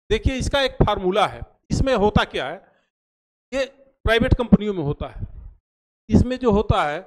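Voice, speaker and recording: A man's voice: high-pitched, rough recording. A man speaks as a teacher. He explains things in an informal lecture style, didactic and emphatic, going back over key phrases so they stick. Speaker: teacher explaining pension schemes.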